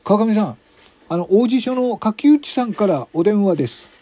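Excitable junior detective for radio drama, acted by a man: higher-pitched, husky, cheerful, eager to please seniors. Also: normal speed. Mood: brisk, polite. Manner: giddy, deferential to seniors.

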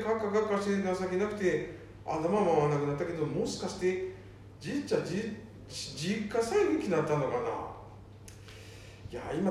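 A worried son acted by a man frets in folksy Nanbu dialect, in a high voice for a man. It is a homemade radio drama, with heavy echo and a distant microphone. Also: a little mellow, close to an everyday voice. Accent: Nanbu dialect, northern Tohoku. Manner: folksy, fretting. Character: worried, exasperated eldest son of a wandering father. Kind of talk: amateur radio drama acting. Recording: very echoey room, distant mic, faint noise.

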